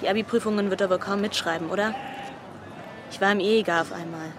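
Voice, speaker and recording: A woman's voice: mid-range voice, steady tone, fast, lightly acted. An actress playing an aloof, coolly defiant teenage schoolgirl in a naturalistic radio drama, talking in an offhand, dismissive way. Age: young adult. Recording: busy outdoor crowd noise behind.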